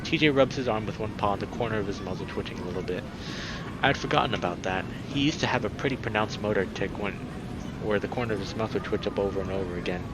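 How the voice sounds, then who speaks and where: A man's voice: fairly low pitch, quick pace. A young adult man doing a casual let's play, chatting to viewers and reading a visual novel aloud. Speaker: game streamer. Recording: noisy background behind the voice.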